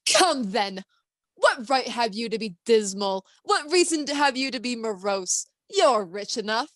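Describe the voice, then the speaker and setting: A woman's voice: on the high side, sing-song, a little slow, dry recording, studio quality. A young woman playing a cheerful, earnest nephew in a school radio play, theatrical and pleading. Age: teenager or young adult.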